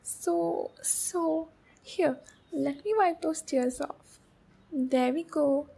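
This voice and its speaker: Woman doing a shy clingy demon girl for asmr roleplay, high voice, super slow n halting, nervous and pleading. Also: creaky, mellow, heavily acted. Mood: tender, yearning. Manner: hesitant, halting, pleading, soothing with pet names. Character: shy, anxious, clingy young female demon captor. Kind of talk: roleplay ASMR, intimate acted monologue.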